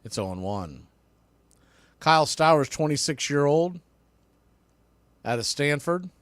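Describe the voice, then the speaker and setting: Guy doing baseball play by play, forceful bright voice, short clipped calls, close dry sound. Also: fairly high pitch, sing-song, measured pace, dry recording. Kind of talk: live sports commentary with casual asides.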